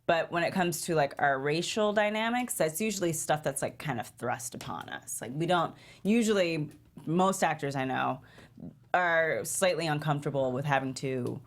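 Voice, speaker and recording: A woman's voice: low voice, normal speed. A woman actor talking casually in a panel discussion. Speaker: actor on a table read panel.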